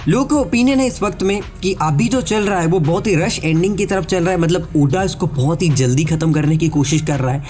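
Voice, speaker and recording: A man's voice: a little high, a little fast, few pauses, darkish tone, faint music behind. A man with a sore throat and a blocked nose, giving a casual explainer voice-over as an anime theory YouTuber and speaking straight to his viewers. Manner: chatty, addresses viewers directly, mixes in English words. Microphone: voice-over recorded separately.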